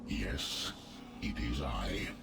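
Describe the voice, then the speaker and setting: Extremely deep, gravelly immortal being. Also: male voice, loud music behind the voice, heavily acted. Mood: grave, imposing. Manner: slow, grave, imperious. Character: solemn otherworldly immortal being addressing mortals. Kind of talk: scripted fantasy video game dialogue.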